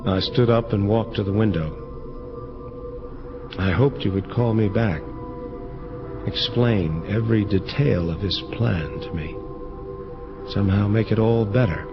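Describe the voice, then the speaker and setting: World-weary angel storyteller: low, wistful, hardboiled narration, dull sound over loud music. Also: male voice, measured pace, long pauses. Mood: wistful, regretful. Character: weary, disillusioned male angel recounting his past. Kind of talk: first-person hardboiled dramatic narration. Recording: dull lo-fi audio under music. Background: prominent atmospheric music.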